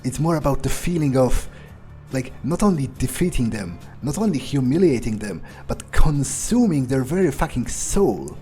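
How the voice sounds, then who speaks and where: A man role-playing an arrogant, disdainful vampire in a gameplay video, musing in a husky voice with halting, foul-mouthed phrasing. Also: medium pitch, big pitch swings, mellow, close to an everyday voice. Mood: smug, musing. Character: arrogant, contemptuous male vampire musing to himself. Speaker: gamer role-playing in character. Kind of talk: in-character gameplay monologue, improvised. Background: music.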